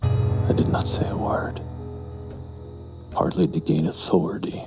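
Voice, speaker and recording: A man playing a brooding, lustful, menacing narrator in a horror audio drama, with slow, deliberate, breathy delivery. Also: in a whisper, over loud music, lightly acted. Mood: dark, hungry. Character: brooding, lustful, menacing male narrator recounting a dark memory.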